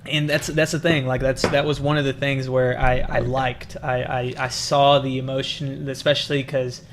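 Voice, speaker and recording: A man hosting a podcast, talking movies in an informal, halting, sweary way. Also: mid-range voice, level tone. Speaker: podcast host. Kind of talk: casual movie review chat. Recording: clear background noise.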